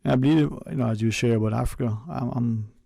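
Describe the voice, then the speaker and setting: A man hosting a radio talk show in a studio, speaking in a hesitant, unhurried way with many fillers. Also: medium pitch, vocal fry, mellow, no room sound.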